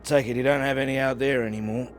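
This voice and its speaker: An actor playing a cynical, gruff stranded pilot in a game drama, speaking in a dry, clipped, sardonic way. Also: male voice, medium pitch, fairly even intonation, fast talker, lightly acted. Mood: wry, resigned. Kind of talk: naturalistic video game drama acting. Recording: loud music over dialogue.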